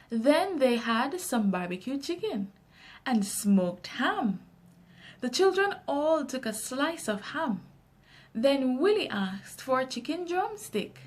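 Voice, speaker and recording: Female children's narrator, Jamaican accent, high voice, animated intonation, deliberate delivery. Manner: expressive, deliberate storytelling for young listeners. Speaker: children's storyteller. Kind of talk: read-aloud children's story narration.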